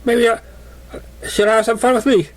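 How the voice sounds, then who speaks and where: A man's voice: extremely high pitch, little pitch movement, normal speed, voice pushed up, low-quality recording. A man voicing a bubbly, childlike female cartoon pony who chatters simply and repetitively about having fun. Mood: carefree.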